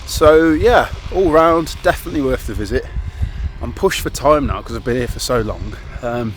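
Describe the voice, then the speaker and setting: A man's voice: mid-range voice, lively intonation, normal speed, slight background noise. A man chatting casually to camera outdoors as a travel vlogger, upbeat and loose with fillers. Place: outdoors on a road trip.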